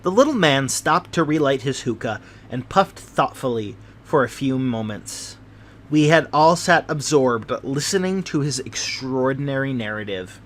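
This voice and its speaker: A man's voice: mid-range voice, lively intonation, a little slow. A man reading scripted literary narration as an audiobook narrator.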